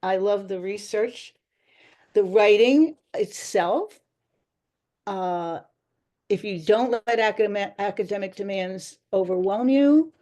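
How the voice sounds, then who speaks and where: A woman's voice: mid-range voice, warm tone. Chatty woman author, rambling answers. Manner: chatty, rambling, laughs often. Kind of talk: casual interview answers.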